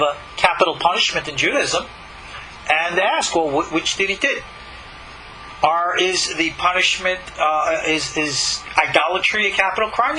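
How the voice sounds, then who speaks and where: A man with a high, piercingly bright voice delivers spoken commentary on scripture. He argues emphatically as a teacher, posing rhetorical questions, with faint background noise behind him. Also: rough recording. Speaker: rabbi and teacher.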